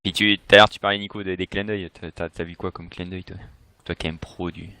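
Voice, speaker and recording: Male podcast guest voice, forceful bright tone, dry close sound, conversational. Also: deep voice, little pitch movement, fast talker, clean tone, no room sound. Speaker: podcast participant. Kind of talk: casual chat.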